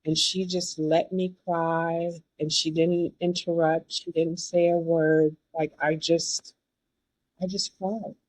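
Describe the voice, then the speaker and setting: A woman hosting a podcast, listening warmly and affirming her guest often. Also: lowish voice, level tone, slow, no room sound, poor audio. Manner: affirming, enthusiastic listener, many yeses. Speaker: podcast host. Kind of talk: casual interview conversation.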